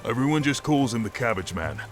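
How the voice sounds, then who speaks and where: A man's voice: medium pitch, big pitch swings, fairly quick, soft background music, close to an everyday voice. A man playing a weary, plain-spoken town sheriff in a fantasy audio drama, casual and matter-of-fact. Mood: unsure.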